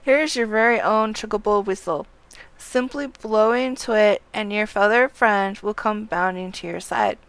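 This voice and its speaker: A woman reading aloud the fantasy game lines of a courteous, formal male stable clerk, in a polite, measured manner. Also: mid-range voice, clean tone, no echo, close to an everyday voice, poor audio.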